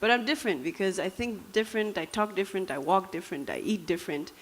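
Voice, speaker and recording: A young woman from Namibia speaks with a Dutch accent. She gives a personal talk to an audience in a reflective, conversational way, often pausing on small fillers. Her pitch sits in the middle range for a woman. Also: slight background noise, low-quality recording.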